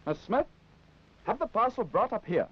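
An actor playing an officious, commanding supermarket manager in a 1960s children's puppet show, speaking briskly. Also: male voice, high-pitched, big pitch swings, slightly rough, exaggerated voice. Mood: brisk, bossy. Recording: dull 1960s television film soundtrack, music.

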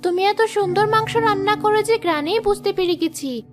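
A woman's voice: very high voice, moderate pace, music in the background, pitched above the performer's own voice. A woman voicing a young village girl in a children's cartoon, with a girlish, pleading manner. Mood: coaxing. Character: young village girl, wary, sweet, easily tempted.

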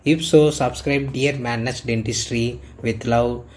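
A man's voice: mid-range voice, fairly even intonation, unhurried, darkish tone. A man teaching a dental technique in an instructional voice-over, explaining step by step in an earnest, plain way. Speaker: dentist teaching clinical technique.

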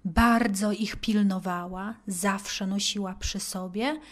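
A woman narrating a children's Bible story expressively, with simple, deliberate phrasing and dramatic pauses. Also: mid-range voice, steady tone.

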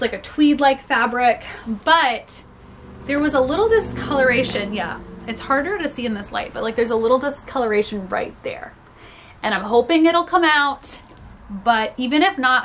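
A woman's voice: fairly high pitch, fairly bright tone, slight background noise. A young woman, a little congested from a cold, chatting casually and upbeat as she shows off a thrift haul at home. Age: young adult. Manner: chatty, upbeat, frequent "like" and laughs. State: slightly congested. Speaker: reseller vlogger. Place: small home room with storage bins, indoors.

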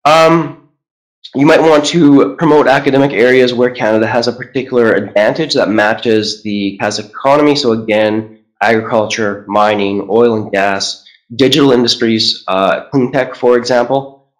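A man's voice: medium pitch, poor audio. Man presenting on a video call. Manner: measured, with frequent filler "uh" and restarts. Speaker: trade commissioner presenting at a webinar. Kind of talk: webinar presentation over slides.

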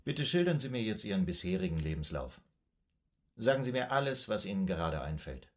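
Low-voiced, composed psychoanalyst man. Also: big pitch swings. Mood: composed, probing. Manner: formal, measured, clinical. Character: composed, probing male psychoanalyst questioning a patient. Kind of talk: German radio drama acting.

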